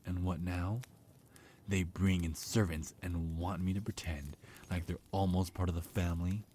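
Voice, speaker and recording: A man's voice: low-pitched, flat delivery, moderate pace, warm tone, a little hiss or hum, lightly acted. A man playing a hostile, resentful vampire in an ASMR roleplay, speaking with bitter, menacing contempt. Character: hostile, resentful male vampire of a mansion household.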